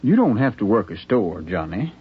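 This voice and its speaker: An actor playing a friendly, plain-spoken frontier townsman in a 1950s radio drama, folksy and gently persuasive. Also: fairly low pitch, big pitch swings, moderate pace, husky, heavily acted. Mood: amiable, puzzled. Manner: folksy, hesitant, coaxing. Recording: thin, phone-like 1950s radio broadcast.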